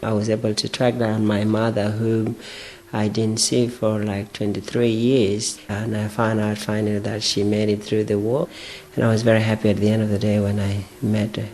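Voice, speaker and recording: A man from South Sudan giving a calm personal account in a flat, even way. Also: lowish voice, normal speed, low-quality recording. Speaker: interviewee.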